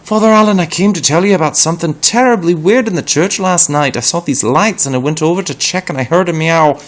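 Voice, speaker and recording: A man voicing an excitable, alarmed boy from a storybook, eager and insistent in manner. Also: on the high side, fairly quick, pitched above the performer's own voice, lightly acted. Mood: urgent, excited. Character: excitable, alarmed boy telling wild tales.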